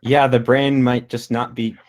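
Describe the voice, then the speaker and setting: A man's voice: mid-range voice, flat delivery, a little slow. A young man who makes videos about learning languages talks over a video call, explaining at length with many fillers and restarts. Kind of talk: casual discussion.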